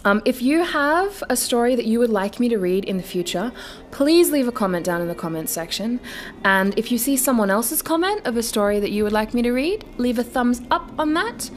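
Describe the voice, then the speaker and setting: High-voiced woman storyteller. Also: expressive, fairly quick. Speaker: host reading bedtime stories aloud. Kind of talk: dramatic poetry recital and casual chat to viewers.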